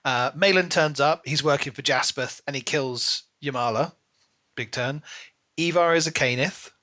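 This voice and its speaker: A man's voice: fairly high pitch, moderate pace, bright, forceful tone. A man co-hosting a podcast, casually discussing books in a chatty, hedging way with frequent filler phrases. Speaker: podcast co-host.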